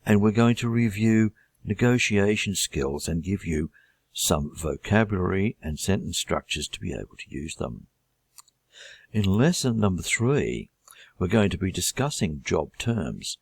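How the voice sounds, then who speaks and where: A middle-aged Australian man introducing his English course in a measured, deliberate teaching manner. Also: deep voice, expressive, breathy edge, no room sound. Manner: measured, deliberate delivery with listed items.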